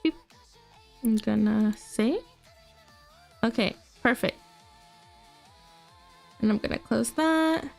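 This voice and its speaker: Congested young woman streamer, chatty. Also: on the high side. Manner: chatty, rambling, giggly, lots of fillers. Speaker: VTuber streamer. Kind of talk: casual livestream chat. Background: faint music.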